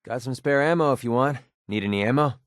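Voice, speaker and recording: A young American mercenary from a wasteland video game, acted by a man, with a mid-pitched voice recorded very dry. He is sardonic and colloquial, a wisecracking companion who talks in a natural, conversational way. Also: fast talker, dry recording, lightly acted. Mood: calm, easygoing. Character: wry, sarcastic young male wasteland mercenary.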